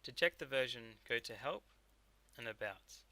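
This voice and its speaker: A man narrating a software tutorial step by step as a technical instructor. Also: medium pitch, lively intonation, normal speed, no room sound.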